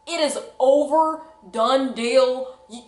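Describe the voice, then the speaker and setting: A woman's voice: sits high, takes it slowly. A young woman telling a personal story in a dramatic, exaggerated, slangy way, acting out the dialogue. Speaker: vlogger. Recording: echoey room.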